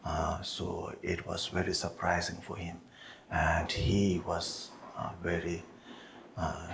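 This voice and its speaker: Man, low voice, monotone, Tibetan native speaker, halting with fillers, roomy hall, faint hiss. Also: vocal fry. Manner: halting, many pauses and fillers. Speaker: monastery head, Buddhist teacher. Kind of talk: opening remarks before a teaching. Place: monastery hall.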